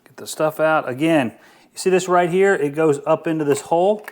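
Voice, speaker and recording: A man with a somewhat higher-pitched voice gives a casual product review. He talks in an informal, easygoing way, thinking aloud as he handles an unboxing. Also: moderate pace, noisy background.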